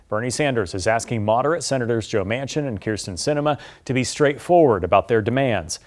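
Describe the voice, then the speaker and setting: American male news anchor, mid-pitched voice. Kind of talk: scripted newscast.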